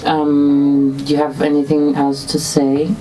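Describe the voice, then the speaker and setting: Woman interviewer, hesitant questions, slow, flat, faint music behind. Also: sits low, distant mic, slight background noise, rough recording. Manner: hesitant, many fillers and restarts.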